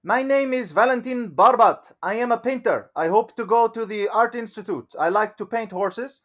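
A man reading as a young painter, raised and bright. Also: extremely high pitch, moderate pace, pitched above the performer's own voice. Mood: earnest. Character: young male painter in a revolt.

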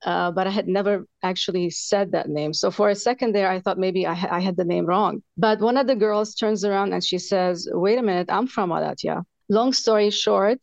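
A woman in her forties, from Lebanon, telling her personal story in an interview, earnest and halting, with many fillers. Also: medium pitch, gravelly, no room sound, lo-fi sound. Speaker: author guest.

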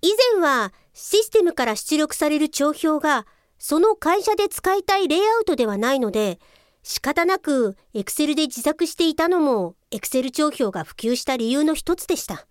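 A woman playing a polite but sarcastic young female office-software investigator in a comic audio drama, explaining things crisply. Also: sits high, sing-song, moderate pace, no room sound, studio quality. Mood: matter-of-fact.

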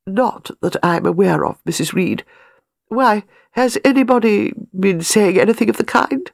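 Woman narrator as a stiff widow, glacial, haughty, formal, easily affronted, lively intonation, storybook reading, close dry sound. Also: mid-range voice, moderate pace, creaky, no room sound, voice pushed up.

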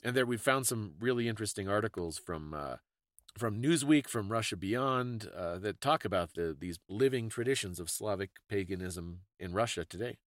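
A man's voice: lowish voice, lively intonation, moderate pace, no room sound, clean studio sound. A man hosting a podcast, explaining things in a casual, thoughtful conversational way. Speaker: podcast host.

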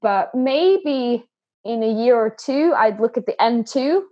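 A woman's voice: a little high, lively intonation, slow pace, dark tone, dull audio. A woman guest in a podcast interview, talking earnestly and often repeating words as she starts a thought. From Sweden and England. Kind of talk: conversational interview.

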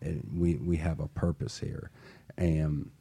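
A man's voice: rumbling low, level tone, slow, warm tone, husky. A man hosting a motivational talk show, speaking earnestly and passionately, often repeating words as he starts a thought. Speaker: host.

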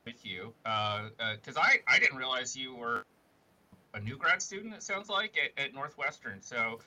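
A man's voice: mid-range voice, big pitch swings, normal speed, bright, forceful tone, low-quality recording. A man co-hosting a podcast, joking and repeating words as he starts sentences. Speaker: podcast co-host. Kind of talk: casual chat.

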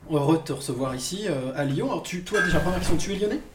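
A man hosts a relaxed interview from his own apartment, his voice sitting a little higher than most men's. He hesitates often, stacking fillers and starting sentences over. A faint hiss sits under the recording. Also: very fast, echoey room. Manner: hesitant, many fillers and restarts. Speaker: host. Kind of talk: casual interview chat.

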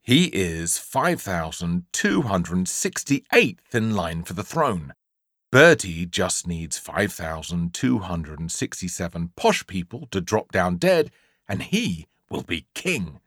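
A man's voice: deep voice, sing-song, slow, fairly bright tone, no room sound, clean studio sound. A man with an English accent narrating a comic children's story in a theatrical, emphatic way, drawing out key words. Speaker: audiobook narrator.

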